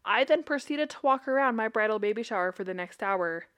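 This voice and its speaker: A woman's voice: on the high side, lively intonation, brisk, a bit bright. A woman who is a licensed therapist and a podcast co-host, talking in a blunt, casual way with swearing and frequent laughter. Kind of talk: casual chat.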